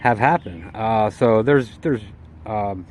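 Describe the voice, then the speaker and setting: A man's voice: on the low side, slow, darkish tone, a little hiss or hum. A man giving a casual, unscripted walkaround of his vehicle in a folksy, colloquial way with hobbyist slang. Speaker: vlogger showing his vehicle build.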